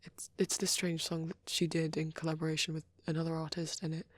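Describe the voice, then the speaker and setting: A woman rambling softly in an ASMR style, with a shy, hesitant, breathy delivery that often slips into a half-whisper, close to the microphone. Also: sits low, little pitch movement, brisk, no echo. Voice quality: soft, breathy. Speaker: ASMR roleplay creator. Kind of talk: soft rambling ASMR chat.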